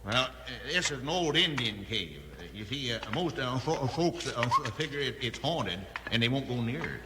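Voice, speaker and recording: An actor playing a hesitant, uneasy western sheriff who stammers in a folksy American accent, in a 1950s radio drama. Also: male voice, mid-range voice, expressive, natural voice. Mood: nervous. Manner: stammering, halting folksy delivery. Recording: very noisy, reverberant 1950s radio recording.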